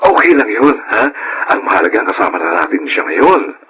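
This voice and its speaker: A man's voice: on the low side, sing-song, normal speed, sharp tone. A man playing a placating young father caught between his girlfriend and daughter in a Filipino radio drama. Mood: affectionate, coaxing. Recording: slightly noisy radio drama.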